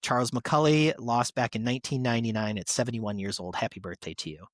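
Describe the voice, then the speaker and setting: A man's voice: medium pitch, fairly even intonation, quick pace. A male podcast host with a mid-range voice reads semi-scripted tributes. He delivers them like an announcer, with an enthusiast's personal touch. The sound is dry, with no room echo. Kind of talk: reading birthday tributes to actors, semi-scripted podcast segment.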